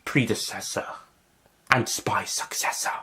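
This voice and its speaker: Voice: medium pitch, measured pace, sharp tone, breathy edge, exaggerated voice. A person voicing a polite, quaint male time-traveller who hands out sweets. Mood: courteous.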